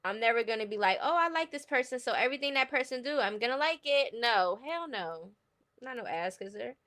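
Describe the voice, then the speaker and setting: A woman's voice: on the high side, expressive, a little fast, a bit bright. A woman with a New York accent chatting casually on a livestream, giving blunt, opinionated gossip commentary in slangy speech. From New York City, United States. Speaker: livestream host.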